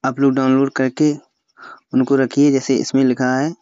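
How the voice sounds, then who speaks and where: Even-toned Indian man, smooth but dull recording. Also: mid-range voice, moderate pace, dry recording. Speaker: tutorial host. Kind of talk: step-by-step phone app tutorial voice-over. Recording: slightly dull, lo-fi, dry close recording.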